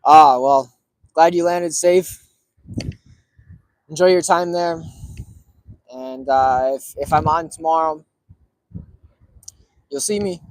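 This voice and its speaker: A man in his early thirties talking casually to viewers, relaxed and rambling with lots of fillers. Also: on the high side, slow pace, long pauses, no room sound, poor audio. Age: 30s. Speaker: cigar streamer.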